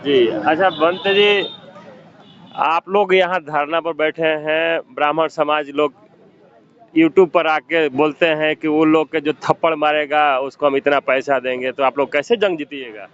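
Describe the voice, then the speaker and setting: Man interviewing, slightly high voice. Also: steady tone, measured pace, fairly bright tone, smooth, muffled recording. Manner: frequent short acknowledgements while listening. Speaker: interviewer for a news channel. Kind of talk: street interview at a protest sit-in.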